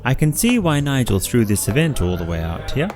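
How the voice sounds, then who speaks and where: A man's voice: medium pitch, very animated, a little fast, over music, close to an everyday voice. An actor playing a polite, well-to-do male party guest in an audio drama. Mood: polite, chatty.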